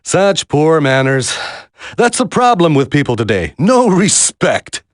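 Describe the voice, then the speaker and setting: A man's voice: fairly high pitch, sing-song, measured pace, no room sound. Smug male game villain, very dry sound. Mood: smug, mocking. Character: smug, condescending male villain taunting a young intruder. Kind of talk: video game voice acting.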